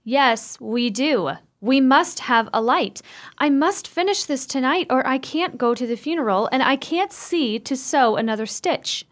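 Radio drama, woman playing indignant outspoken sister, high pitch, lively intonation, suspicious, emphatic. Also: a little slow. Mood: impatient, insistent. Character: Emma, outspoken, suspicious woman grieving her brother. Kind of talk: radio play acting.

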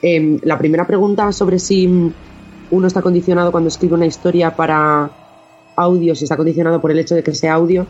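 A woman's voice: lowish voice, steady tone, brisk, darkish tone, a little hiss or hum. Dull lo-fi recording, woman interview guest, thoughtful, hesitant, searching for words. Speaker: interview guest, audio fiction maker.

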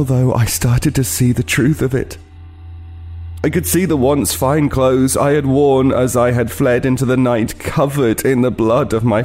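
An actor playing a haunted, guilt-ridden homeless man, telling his horror tale in a grave, deliberate, literary way for an audio play. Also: mid-range voice, a little mellow, natural voice. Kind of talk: dramatic first-person horror narration, audio play.

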